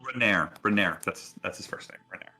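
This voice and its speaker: A man's voice: lowish voice, a little fast, sharp tone, faint music behind. A man narrating a tabletop roleplaying game in a casual way as the game master.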